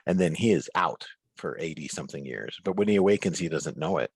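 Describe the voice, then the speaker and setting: A man speaking as a guest author in a reflective interview, earnest and deliberate, with pauses between phrases. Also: low-pitched, expressive, gravelly, breathy edge, no room sound. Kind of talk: reflective interview conversation over video call. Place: remote video call.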